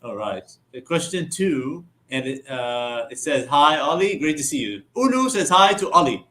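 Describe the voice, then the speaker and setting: A man's voice: on the high side, animated, moderate pace, bright, forceful tone, slight background noise. A man hosting a casual interview show, upbeat and effusive, often laughing. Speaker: host.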